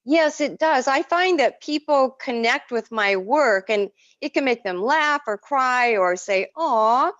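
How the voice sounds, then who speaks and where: A woman whose first language is French, an artist telling stories in an effusive, expressive way as an interview guest. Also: high-pitched, slow, hardly any pauses, dry recording, dull audio. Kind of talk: casual interview.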